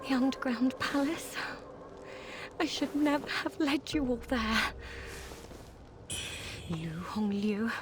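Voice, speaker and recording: An actress playing a guilt-ridden, vengeful disciple in a video game, speaking in a dramatic, halting, anguished way. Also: female voice, sits high, background noise. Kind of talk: video game cutscene acting.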